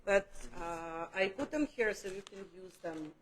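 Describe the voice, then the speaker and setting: A woman's voice: mid-range voice, lively intonation, a little slow, slight background noise, rough recording. A woman casually walking a group through a painting demo in a studio, chatty and a little self-deprecating. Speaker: art instructor. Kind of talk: casual live demo teaching. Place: art studio room.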